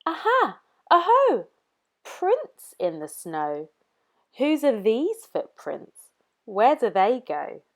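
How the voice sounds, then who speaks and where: Woman playing clever little male mouse, playful, lively storybook voice. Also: on the high side, slow, pitched above the performer's own voice, heavily acted.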